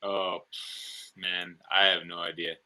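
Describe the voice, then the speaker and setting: A man's voice: deep voice, flat delivery, takes it slowly, pushed and bright. A man chatting casually in an offhand, unsure way, as if thinking it over with friends. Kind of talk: casual chat.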